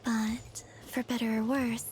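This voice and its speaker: A woman voicing a serene, wistful young moon goddess in a video game, speaking softly in a measured, formal way. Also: a little high, little pitch movement, a little hiss or hum. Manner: soft, measured, formal, gentle speech. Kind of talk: scripted game voice acting.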